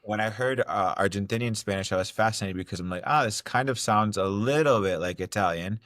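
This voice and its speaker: A man's voice: fairly low pitch, fairly quick, fairly bright tone, no echo, studio quality. A young man from Ohio, a podcast guest talking casually with lots of fillers and restarts. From Ohio, United States. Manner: rambling, many fillers and restarts. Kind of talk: casual interview talk.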